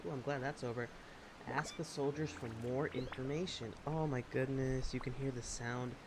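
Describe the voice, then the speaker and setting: A man's voice: medium pitch, steady tone, normal speed, noisy background. A man giving casual, chatty commentary while he plays a video game. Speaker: gamer, video creator.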